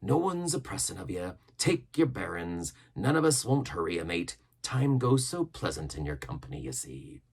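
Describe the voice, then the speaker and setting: A man's voice: low voice, sing-song, normal speed, creaky, hardly any pauses, husky, exaggerated voice. A man voicing a sly, mock-friendly pirate captain with salty dialect, genial and sneering in a storybook reading. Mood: gracious, veiled threat. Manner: genial but sneering, gracious, persuasive.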